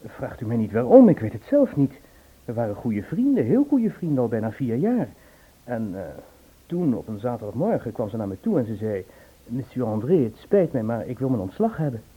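A man's voice: mid-range voice, very animated, brisk. An actor playing a polite, fussy male beauty salon owner in a radio play, apologetic and hesitant. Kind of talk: Dutch radio play acting. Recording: husky, tinny old radio recording.

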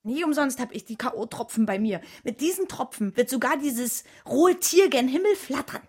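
A woman's voice: high-pitched, animated, moderate pace, a little mellow, breathy edge. An actress playing a jealous, venomous, scornful woman in a radio crime drama. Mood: coolly threatening.

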